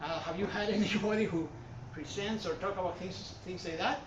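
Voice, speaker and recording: Man, Puerto Rican Spanish accent, casual gallery lecture, rambling with fillers, distant mic, boomy room, muffled and noisy recording. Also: brisk. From Puerto Rico. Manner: rambling, self-correcting, with many fillers. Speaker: art history presenter. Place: art gallery hall with an audience. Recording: far-off echoey room mic, dull and noisy.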